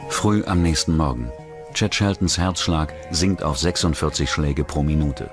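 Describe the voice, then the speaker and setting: A man's voice: extremely low pitch, unhurried. A man delivering scripted narration for a true-crime documentary in the role of narrator. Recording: narration over music bed. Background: music.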